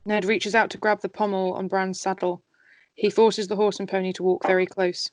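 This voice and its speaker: A woman's voice: medium pitch, flat delivery, moderate pace, no room sound. A woman narrating stage directions in an amateur script table read.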